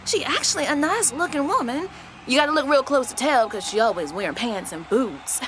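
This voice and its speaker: A woman's voice: sits high, animated, fairly quick, noisy background. A woman playing a gossipy, pious, opinionated churchgoing woman in a radio play. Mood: gossipy, scolding. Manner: sassy, opinionated, chatty. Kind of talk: stage-play dialogue for radio.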